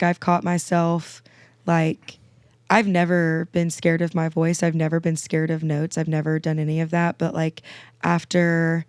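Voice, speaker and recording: A young woman in her twenties with a raspy, slightly sick sinus voice, chatting eagerly on a podcast with lots of fillers. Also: low-pitched, a little mellow, no room sound. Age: 20s. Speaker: guest singer-songwriter. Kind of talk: casual podcast chat.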